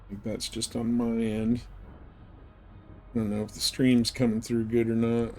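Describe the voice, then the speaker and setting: A man's voice: medium pitch, completely flat delivery, normal speed, warm tone, slight background noise. A man giving casual, live commentary as he streams a video game.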